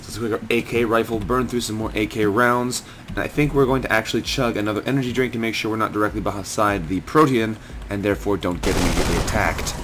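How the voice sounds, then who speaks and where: A man with a hoarse, scratchy voice and a fairly low pitch talks through a video game at a steady, methodical pace. Game sounds and gunfire come through clearly behind his voice. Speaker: gaming channel host. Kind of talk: let's play commentary.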